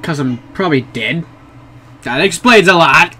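A man's voice: a little high, normal speed, a crowd in the background. Jumpy young guy playing a horror game. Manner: jumpy, excitable, swears a lot, talks to viewers. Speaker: gaming video creator. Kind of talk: casual horror let's play commentary. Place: small bedroom.

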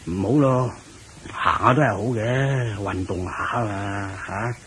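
A man's voice: lowish voice, unhurried, fairly bright tone. A man with a Hong Kong Cantonese accent playing a fat, fussy king in a radio drama, sighing and grumbling as if weary of the heat. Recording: clear background noise.

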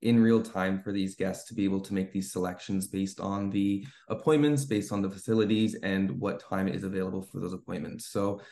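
Flat, low-voiced man in an echoey room. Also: brisk, few pauses. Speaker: webinar co-host. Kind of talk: business presentation.

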